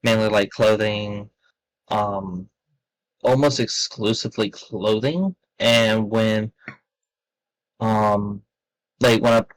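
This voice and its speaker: A man's voice: lowish voice, fairly even intonation, slow pace, pauses often, no room sound, low-quality recording. A man chatting in a laid-back, rambling way on a podcast, with many fillers. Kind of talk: casual podcast chat.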